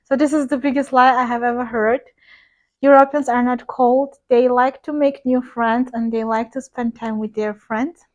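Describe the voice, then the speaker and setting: A woman's voice: fairly high pitch, steady tone, normal speed, highs cut off. A woman, an English speaker and teacher, chatting frankly to camera with frequent fillers. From Armenia. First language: Armenian. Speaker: vlogger and ESL teacher. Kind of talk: casual talk to camera.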